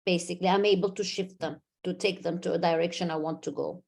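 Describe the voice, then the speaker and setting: A woman's voice: on the low side, steady tone, fast, gravelly, a little mellow. A woman moderating a podcast panel, asking the group questions and summarizing what the others say. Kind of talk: casual panel chat.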